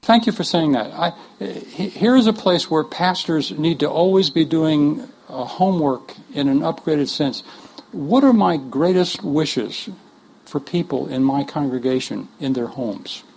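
A man's voice: fairly high pitch, expressive, measured pace, creaky, a little mellow, a little hiss or hum, low-quality recording. A man leading a workshop for pastors, talking in an earnest, conversational way with joking asides and frequent restarts. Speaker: workshop leader teaching pastors. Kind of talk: conversational teaching talk.

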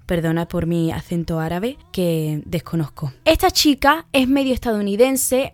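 A woman's voice: on the high side, no room sound. A woman hosts a podcast, chatting through a casual film review with personal asides. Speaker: podcast host.

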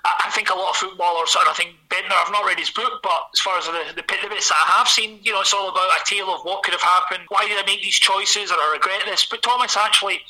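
A middle-aged Glaswegian man talks through a thin, phone-like line. His voice sits high and sounds very bright and forward. He often stops and restarts his sentences. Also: steady tone, fast, hardly any pauses. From Scotland. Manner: hesitant, lots of uh and restarts. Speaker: author guest. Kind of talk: conversational interview.

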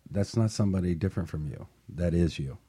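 A man's voice: low-pitched, fast, warm tone, husky. A man hosting a casual panel discussion, talking in an earnest, conversational way with emphatic repetition. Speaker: talk show host.

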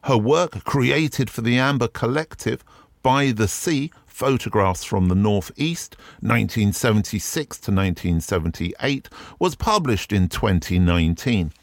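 A man's voice: on the low side, animated, a little slow, dry recording, clean studio sound. A man hosting a podcast alone, talking in a conversational, reflective way with frequent ums. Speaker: podcast host. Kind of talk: casual podcast monologue. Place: garden shed.

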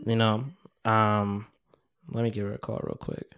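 Laid-back podcast host, man in his thirties, smooth clear voice, slangy rambling chat. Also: fairly low pitch, monotone, unhurried, fairly bright tone. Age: 30s. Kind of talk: casual chat.

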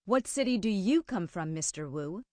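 A woman's voice: mid-range voice, lively intonation, moderate pace, darkish tone, dry recording, studio quality. An American woman hosting a radio show in scripted English-teaching dialogue.

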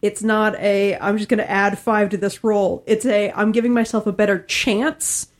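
Medium-pitched woman in casual conversation. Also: little pitch movement, moderate pace. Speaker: podcast co-host. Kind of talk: casual discussion of tabletop games.

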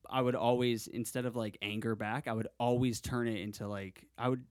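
A man's voice: mid-range voice, steady tone, a little fast, a little mellow, dry recording. A man with a mid-range voice chats as a podcast co-host. He rambles and jokes, often acting out his own lines. The recording is dry and close. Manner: rambling, jokey, self-quoting. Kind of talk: casual chat.

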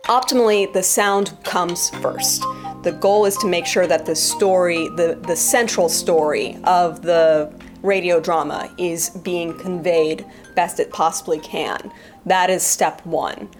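A woman talking reflectively in an interview as a Foley artist, articulate and thoughtful, with measured phrasing. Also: on the low side, fairly even intonation, over music. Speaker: guest, Foley artist and theater performer.

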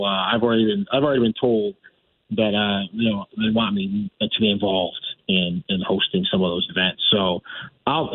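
A man's voice: fairly low pitch, no room sound. A middle-aged man speaking as a radio guest over the phone, talking casually, often restarting and correcting himself. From United States. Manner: rambling with restarts and self-corrections. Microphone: telephone. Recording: dry, tinny phone line.

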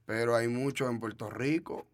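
A man's voice: mid-range voice, moderate pace, no room sound. A man from the Dominican Republic with a Dominican Spanish accent, a trap artist talking casually in an interview, often repeating himself and restarting.

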